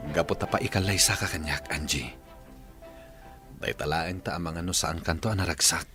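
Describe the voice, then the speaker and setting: Radio drama: earnest young Ilocano lover voiced by a man, deep-ish and sincere, light music behind. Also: moderate pace, lightly acted. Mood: happy, tender. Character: devoted, earnest young suitor.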